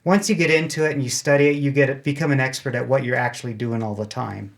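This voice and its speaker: Male interview guest, amateur radio club member, average pitch, informal explainer who pokes fun at himself. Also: steady tone, fast, creaky. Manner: chatty, self-deprecating. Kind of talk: casual explanatory interview talk.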